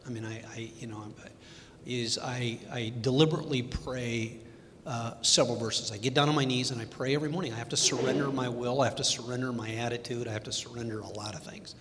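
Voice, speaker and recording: A middle-aged man, a bank executive, talking candidly on a panel, halting with many restarts and fillers. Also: steady tone. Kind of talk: candid personal reflection on a panel. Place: event hall. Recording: echoey hall, faint rumble.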